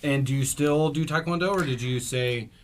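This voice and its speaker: A man's voice: medium pitch, moderate pace, slight background noise. A man interviewing a student for a local news outlet, asking questions casually and stumbling over his words now and then.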